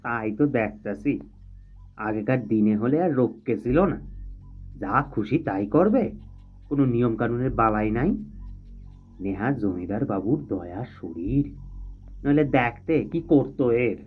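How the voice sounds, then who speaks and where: A sanctimonious village toady in an audio story. He grumbles in disapproval with a rural Bengali dialect and a medium pitch. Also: lively intonation, measured pace, pauses often, slightly rough, narrow, tinny audio, soft background music. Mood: disapproving. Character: gossiping, sanctimonious male villager flattering the landlord.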